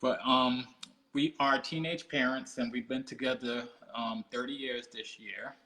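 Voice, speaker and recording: A man in his forties co-hosting a live interview, talking in an easygoing, halting way with many ums. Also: mid-range voice, steady tone, sharp tone, poor audio. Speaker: co-host. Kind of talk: casual live-stream interview.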